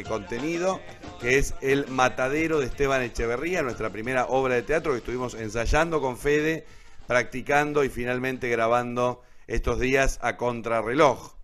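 A man's voice: mid-range voice, normal speed, a bit bright, soft background music. A man hosting a live online show, explaining things and checking in with short tag questions. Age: adult, teacher and writer. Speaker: host. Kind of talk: presenting a live online radio theatre show.

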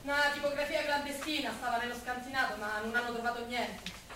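A woman's voice: fairly high pitch, steady tone, brisk, bright, forceful tone. An actress playing a blunt, suspicious working-class woman with a Roman accent, in a theatrical stage style. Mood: alarmed. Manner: blunt, direct. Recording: distant echoey stage recording with noise.

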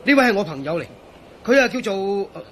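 Sociable, jokey buddy, high male voice, Hong Kong Cantonese radio drama, faint background noise. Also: sing-song, quick pace, fairly bright tone. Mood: jovial. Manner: effusive, chatty, jokey. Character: eager, sociable male friend and host.

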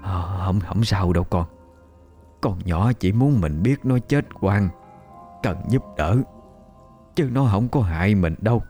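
A man voicing a blind old grandmother who speaks softly in a halting, choked way. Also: on the low side, animated, soft background music. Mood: shaken tender. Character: blind old grandmother, shaken but tender.

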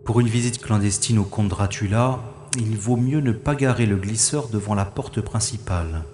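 A man playing a space secret agent on a covert mission, deliberately narrating his actions to himself in an audio drama monologue. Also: lowish voice, warm tone, lightly acted. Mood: focused, wary. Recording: roomy reverberant sound with faint noise.

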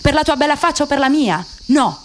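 A woman plays a resentful married man in a radio drama, bitter and sardonic. The voice sits fairly high, and he pushes his points as pointed questions. Faint noise lies behind. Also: lively intonation, brisk. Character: bitter, resentful Palestinian husband driving home.

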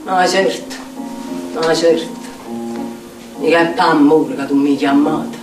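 An actress playing a tormented street outcast in a theatrical monologue, speaking incantatory, repetitive lines with a Neapolitan accent. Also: female voice, low-pitched, fairly even intonation, unhurried. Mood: brooding invocation. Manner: incantatory, dramatic, repetitive phrasing. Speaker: stage actress. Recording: echoey distant stage sound with music. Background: plucked guitar music.

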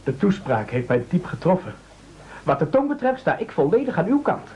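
A man's voice: sits high, big pitch swings, normal speed, dark tone. An actor in a radio play playing a greedy, fawning henchman with a theatrical, declamatory way of talking. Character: gluttonous, fawning, theatrically indignant male castaway henchman. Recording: dull, lo-fi radio play recording.

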